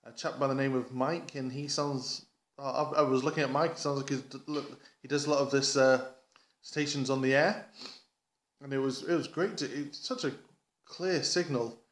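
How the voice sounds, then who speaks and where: The sound is roomy and echoey around a man from England with a middling-low voice. He talks like a hobbyist vlogger giving a casual update. His delivery is enthusiastic and rambling, halting often and doubling back on his words. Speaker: amateur radio hobbyist vlogger.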